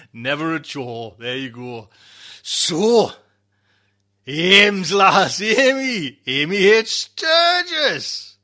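A man's voice: a little high, sharp tone. A man hosting a podcast in a casual, effusive way, speaking in short exclamations. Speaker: podcast host.

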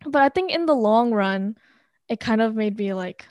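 A woman who stutters with pauses and blocks, talking in casual conversation and laughing softly between phrases. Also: on the high side, expressive, no echo.

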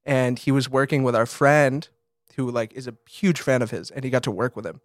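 An American man co-hosting a casual conversation, telling stories in an animated, slangy way and peppering his talk with "like". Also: mid-range voice, brisk, no room sound, studio quality. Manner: animated storyteller, swears casually, frequent "like". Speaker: podcast co-host and photographer.

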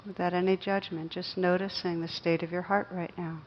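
A woman teaching guided meditation, speaking gently and unhurriedly with long pauses between phrases. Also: lowish voice, flat delivery, dull audio, slight background noise.

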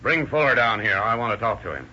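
A man's voice: fairly low pitch, lively intonation, fast, over music, natural voice. A man playing a shrewd sheriff who questions a murder suspect step by step, in a 1930s radio drama with a muffled old recording sound. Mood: stern. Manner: firm, methodical questioning.

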